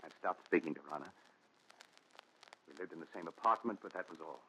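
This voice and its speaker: A man's voice: sits low, brisk, a bit bright. A man playing a tormented, regretful, bitter husband in an earnest, melodramatic 1940s radio thriller style. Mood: haunted recounting. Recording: noisy, dull, crackly 1940s radio recording.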